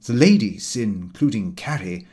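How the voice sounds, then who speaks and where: A man reading a comic first-person diary as an audiobook, in a measured, fussy, expressive storytelling manner. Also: on the low side. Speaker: audiobook reader.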